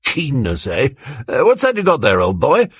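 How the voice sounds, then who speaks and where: A bluff, cheery zealot of a neighbour, put on by a man. He is chummy and eager, and his lively voice leaps about with a husky grain in a dry, close sound. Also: mid-range voice, normal speed, no room sound, pitched above the performer's own voice. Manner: cheery and eager, chummy "old boy" talk. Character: hearty, bluff, sweaty zealous male neighbour.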